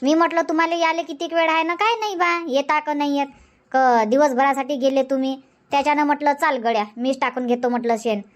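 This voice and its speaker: A woman's voice: sits high, fairly even intonation, close to an everyday voice. A woman voicing a nagging village housewife. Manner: scolding, complaining, rapid village banter. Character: nagging village housewife Shanta, chatty and sharp-tongued. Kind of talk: dubbed cartoon comedy.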